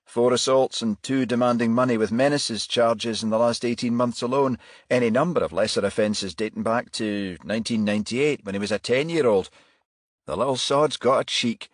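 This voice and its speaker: A man's voice: medium pitch, normal speed, no room sound, studio quality, voice pushed up, lightly acted. A man playing a blunt, sardonic male detective constable in a crime audiobook. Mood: scornful.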